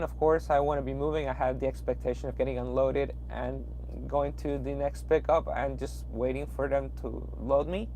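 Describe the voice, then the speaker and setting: A man's voice: fairly high pitch, a little mellow, a little hiss or hum, rough recording. Peruvian-accented man chatting from his truck. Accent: Peruvian Spanish. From Peru. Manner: rambling, hesitant, with frequent fillers and restarts. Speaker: truck driver livestreaming. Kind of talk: casual livestream chat reading viewer comments. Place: inside a parked truck cab at night.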